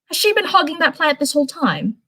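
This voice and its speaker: A woman's voice: high voice, sing-song, a little fast, bright, forceful tone, pitched above the performer's own voice, heavily acted. A woman voicing a playful, teasing teenage boy in a read-aloud story, cheeky and casual. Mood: curious. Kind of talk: storybook character voice.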